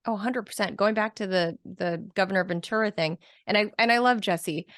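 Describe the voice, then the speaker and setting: A woman's voice: medium pitch, a little fast, a bit bright, no room sound. Woman guest on a podcast, emphatic, lots of ums, dry close sound. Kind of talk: casual conversational chat.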